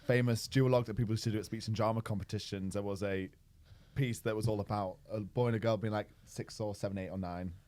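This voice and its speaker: A man podcast co-host telling anecdotes in a measured way during casual chat. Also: lowish voice, fairly even intonation, warm tone.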